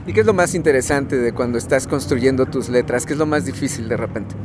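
A man hosting a radio interview, asking questions in a halting, searching way. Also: medium pitch, background noise. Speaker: radio host.

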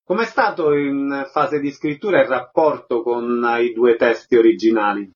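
A man's voice: mid-range voice, moderate pace, highs cut off. A man presenting a radio programme, announcing and asking questions in a formal, concise way. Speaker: radio host, interviewer. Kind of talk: scripted radio presenting and interviewing.

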